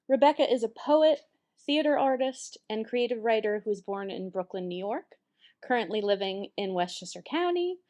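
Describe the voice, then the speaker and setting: Upbeat woman emcee with a higher voice, introducing poets at a library reading on a handheld mic. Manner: upbeat, welcoming. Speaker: poetry slam host. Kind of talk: emcee introduction to a live audience. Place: public library event room.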